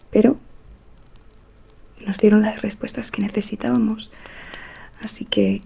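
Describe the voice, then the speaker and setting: Breathy whispering woman doing ASMR witch roleplay. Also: medium pitch. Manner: slow, hushed, soothing delivery. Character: calm mysterious witch fortune teller who speaks with spirits. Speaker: ASMR performer.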